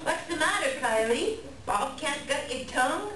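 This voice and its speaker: Woman playing a theatrical raven, lively pitch swings. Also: measured pace, crowd noise. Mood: teasing. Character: earnest, scolding raven messenger of animal folktale. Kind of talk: staged reader's theater. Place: live reading in a library room. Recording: echoey room, distant mic, background noise.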